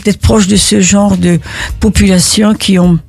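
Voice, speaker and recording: Older woman from Italy, gravelly and husky, halting interview answers with many restarts. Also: mid-range voice, fairly even intonation, darkish tone, soft background music. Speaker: interviewee, neighborhood volunteer.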